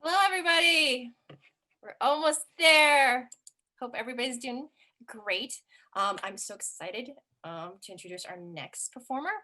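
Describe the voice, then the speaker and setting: A woman host introduces the next comedian at a comedy night. Her voice is bright and sharp. She sounds upbeat and drops in a few ums along the way. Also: a little high, moderate pace. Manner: upbeat, with fillers. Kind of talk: introducing performers.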